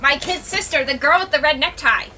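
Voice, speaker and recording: Woman as a high, scrappy teenage boy. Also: fairly quick, pushed and bright, a little hiss or hum. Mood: concerned, cheeky. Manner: brash, urgent, blunt. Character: scrappy, impatient, cheeky teenage boy in uniform.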